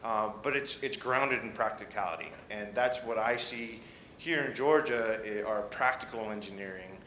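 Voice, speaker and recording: A man's voice: lowish voice, moderate pace, bright, forceful tone, reverberant, slight background noise, low-quality recording. A man answering questions on a conference panel, speaking with many fillers and restarts.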